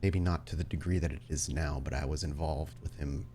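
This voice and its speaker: A man playing a guilty, subdued husband in a tabletop game, speaking quietly and haltingly. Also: very deep voice, steady tone, a little hiss or hum, pitched below the performer's own voice. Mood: quietly confessing. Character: guilty, subdued husband admitting his betrayal.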